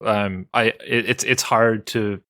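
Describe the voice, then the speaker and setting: Low-voiced wry man, dry close mic. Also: fairly even intonation, slow, a bit bright, no echo. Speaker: player. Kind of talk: casual tabletop podcast chat.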